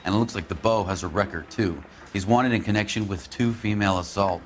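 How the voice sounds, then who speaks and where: Low-voiced policeman , played by a man. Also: fairly quick, slight background noise, close to an everyday voice. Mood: matter-of-fact. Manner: matter-of-fact. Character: businesslike uniformed policeman passing on messages. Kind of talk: video game drama acting.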